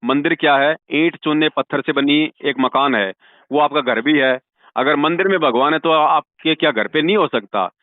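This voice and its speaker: A man's voice: on the high side, moderate pace, no room sound. A man giving religious counsel over the phone, speaking in an insistent, preachy way and leaning on devotional sayings. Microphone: telephone. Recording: tinny phone call recording.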